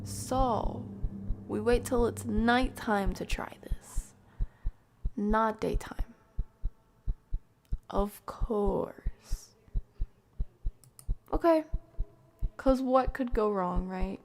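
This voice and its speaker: A woman's voice: on the high side, very slow, long pauses, slight background noise. A woman streamer playing a horror game live, reading dialogue aloud and reacting. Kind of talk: live gameplay commentary.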